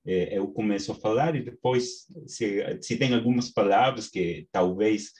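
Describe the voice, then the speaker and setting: A man from Peru with a Peruvian Spanish accent, teaching an online class in Portuguese with slow, halting speech and many restarts, over a video call from a small home study. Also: lowish voice, a little mellow. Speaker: course instructor. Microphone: webcam on video call.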